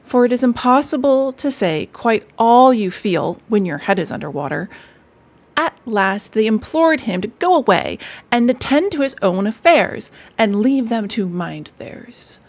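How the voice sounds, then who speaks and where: A woman reading a children's classic aloud in a gentle, casual way, soft-spoken and relaxed between passages. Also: fairly high pitch, measured pace. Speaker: storyteller. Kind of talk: cozy read-aloud narration.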